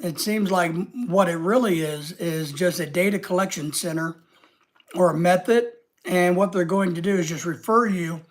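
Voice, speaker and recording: A man's voice: sits high, gravelly. A man hosting a casual live stream with a head cold, rambling and reading out viewer comments.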